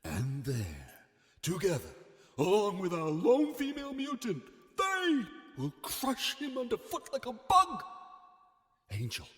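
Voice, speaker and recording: Evil mad professor in a horror audio play, gloating, highish voice swooping all over, big reverb. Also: male voice, wildly swooping pitch, exaggerated voice. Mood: gloating, menacing. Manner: theatrical, drawn-out, swinging from hushed to booming. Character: gloating male mad scientist villain, sinister and grandiose. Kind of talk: melodramatic audio horror drama acting. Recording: long hall-like reverb.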